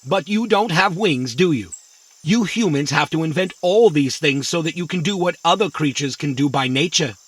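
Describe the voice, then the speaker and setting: A man's voice: on the high side, lively intonation, moderate pace, slightly rough, dry recording. A man playing a naive, opinionated, literal-minded little robot in a children's audio drama. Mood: blunt, literal. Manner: literal-minded, matter-of-fact, cheeky.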